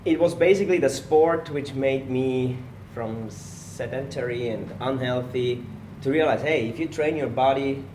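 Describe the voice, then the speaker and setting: A man from Switzerland, a personal trainer telling his story as a guest in a relaxed interview recorded at a gym. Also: mid-range voice, measured pace, hardly any pauses, reverberant, recorded from a distance, background noise. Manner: relaxed storytelling, slightly non-native phrasing. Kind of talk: casual podcast interview.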